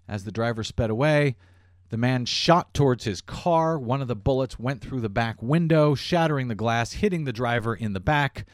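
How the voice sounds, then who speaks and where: A man's voice: mid-range voice, normal speed, no echo, clean studio sound. A man hosting a political talk radio show, delivering a monologue in a sardonic tone with frequent fillers. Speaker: radio host.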